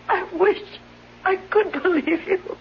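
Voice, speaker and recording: A woman's voice: very high-pitched, exaggerated voice. A woman acting a fearful, clinging invalid wife in a 1970s radio drama, pleading plaintively and emotionally. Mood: frightened. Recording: noisy dull vintage radio broadcast.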